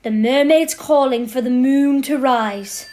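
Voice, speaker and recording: A woman playing a knowing storybook narrator in an audio drama, telling it in an expressive, literary way. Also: sits high, unhurried, faint music behind. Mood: solemn.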